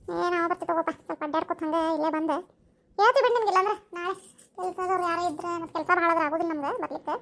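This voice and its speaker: Woman voicing a squeaky, fast-talking village mother, North Karnataka accent. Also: a bit bright. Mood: chatty, bossy. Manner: nagging, homely. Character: bossy, chatty village mother and housewife. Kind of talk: amateur cartoon dialogue. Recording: faintly noisy home recording.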